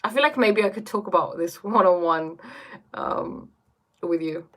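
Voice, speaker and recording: A woman's voice: lowish voice, unhurried, fairly bright tone. A young woman hosting a casual livestream, reading viewer questions aloud and answering them on spirituality. She hesitates often and fills her speech with "like" and "um". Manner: hesitant, lots of fillers and "like", reads comments aloud.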